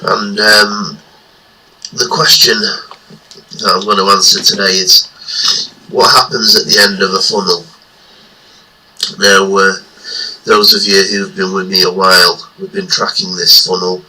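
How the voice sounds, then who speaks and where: A man's voice: low-pitched, level tone, unhurried, long pauses, bright, forceful tone, husky, rough recording. A man with a stuffy nose from a cold, talking casually through market charts in an earlier clip. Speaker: market analysis vlogger. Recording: played back from an earlier video.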